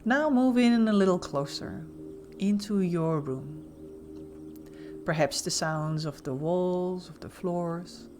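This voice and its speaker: A woman leading a guided meditation as an instructor, giving gentle, soothing, softly spoken instructions with pauses. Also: lowish voice, slight background noise.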